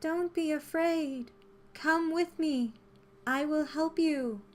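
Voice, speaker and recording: Woman voicing a slow, high, mysterious forest voice. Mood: reassuring. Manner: slow, coaxing, reassuring. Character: mysterious, friendly guiding forest voice.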